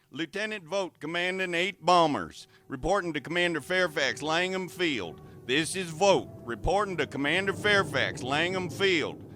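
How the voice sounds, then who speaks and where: A military bomber pilot radios in a clipped, businesslike report in a radio play, as a man acts him out. His voice sits a little high for a man. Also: noisy background. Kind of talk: amateur radio drama.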